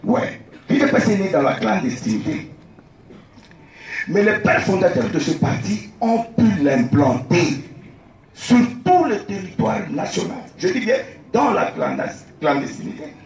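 A man's voice: high-pitched, big pitch swings, a little slow, gravelly, darkish tone, a little hiss or hum. A man from the Democratic Republic of the Congo, giving argumentative debate answers with rhetorical questions on an outdoor tent stage. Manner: argumentative, rhetorical questions, repeats key points. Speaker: candidate for party president. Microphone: phone recording from the audience. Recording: echoey, distant phone recording.

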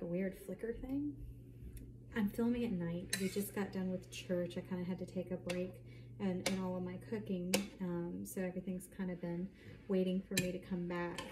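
A woman in her thirties chatting casually to camera as she demonstrates home cooking, with explanatory asides as she works. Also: mid-range voice. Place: home kitchen, indoors at night. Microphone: camera mic a short distance away. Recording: clearly noisy home kitchen recording.

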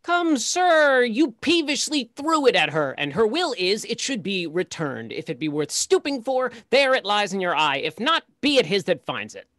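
A prim, haughty steward played by a man scolds his way through stage dialogue. The intonation is very lively. The sound is dry, with little room in it. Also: sits high, normal speed, hardly any pauses, dry recording, heavily acted. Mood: haughty, scolding. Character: haughty, prim male steward delivering a ring. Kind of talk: Shakespearean play reading. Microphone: video call.

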